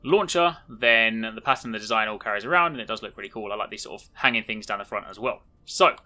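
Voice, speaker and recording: Man, casual game commentary, video host, very sharp bright voice. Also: lowish voice, expressive, quick pace.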